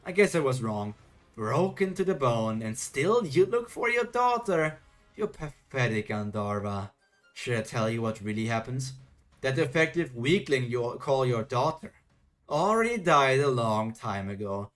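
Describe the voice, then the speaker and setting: A man's voice: mid-range voice, big pitch swings, a little slow, fairly bright tone, faint music behind. A man reading the lines of a scornful female demon king who taunts her rival. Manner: sneering, condescending.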